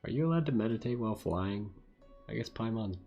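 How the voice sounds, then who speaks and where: Male game streamer, low voice, relaxed off-the-cuff commentary, thinking out loud. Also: fairly quick, lo-fi sound, over music. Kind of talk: casual gameplay commentary.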